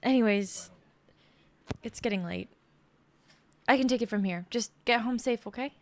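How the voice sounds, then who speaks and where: A woman's voice: on the high side, normal speed, a little hiss or hum, natural voice. A young woman playing a protective, worried store worker in a dramatic script reading. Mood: firm, caring. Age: young adult.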